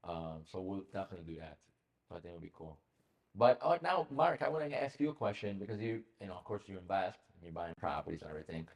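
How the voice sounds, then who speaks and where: A man with an Italian accent, Italian his first language, hosting a casual office podcast chat, animated and joking, with many fillers. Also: low-pitched, fast. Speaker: podcast host.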